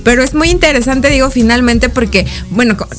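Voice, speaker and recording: A woman with a Mexican accent hosting a casual interview, chatty and quick to laugh. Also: sits high, over music. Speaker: host.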